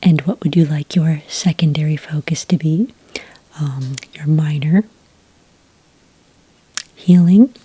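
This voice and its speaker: A woman playing a friendly, patient witch enrollment clerk in an ASMR roleplay, speaking in a gentle, breathy whisper with an unhurried, helpful manner. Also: low-pitched, slight background noise. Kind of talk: soft-spoken ASMR roleplay.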